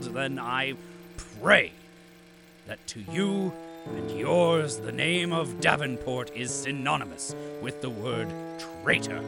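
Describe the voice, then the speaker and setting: An actor playing a solemn, grandiloquent propaganda announcer and company loyalist in old-time radio style. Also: male voice, mid-range voice, gravelly. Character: pompous male propaganda announcer, sternly disapproving company loyalist.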